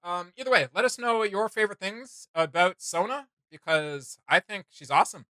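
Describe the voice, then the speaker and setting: Very bright-voiced man chatting as a fan. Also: sits high, normal speed, no room sound. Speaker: reaction video host. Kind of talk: casual opinion chat with co-host.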